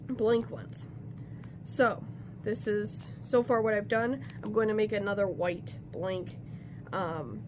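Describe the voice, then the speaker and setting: A woman's voice: medium pitch, noisy background. Stuffy-nosed woman chatting while crafting. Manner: chatty, rambling, self-interrupting. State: stuffy nose from a cold. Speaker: crafting vlogger. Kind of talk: casual talk while crafting. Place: home crafting table.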